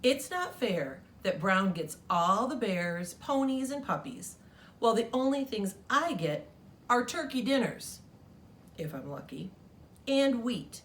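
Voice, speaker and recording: A woman's voice: fairly high pitch, big pitch swings, unhurried, slight background noise. A woman reading the letter of a proud but slighted beige crayon from a storybook, in an indignant tone.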